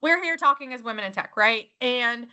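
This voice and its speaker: A woman's voice: fairly high pitch, lively intonation, moderate pace, sharp tone, dull audio. A woman hosting an interview podcast, chatty and enthusiastic, with many fillers and restarts. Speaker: podcast host. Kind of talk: casual interview chat.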